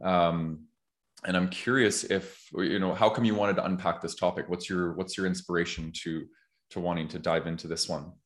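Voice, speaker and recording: A man in his thirties hosting a podcast, talking in a reflective, conversational way with an earnest, measured manner. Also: deep voice, fairly even intonation. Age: 30s. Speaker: podcast host.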